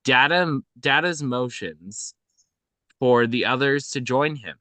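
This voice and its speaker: A man narrates stage directions for a script table read. His voice is bright and dry, and he reads slowly and haltingly, often correcting himself. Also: mid-range voice, no echo.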